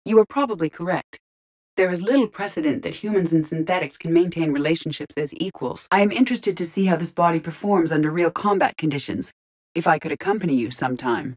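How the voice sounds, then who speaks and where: An actress voicing a female artificial intelligence in a video game, speaking in a measured, formal, precise and detached way. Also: lowish voice, lightly acted. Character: calm, analytical female artificial intelligence in an android body.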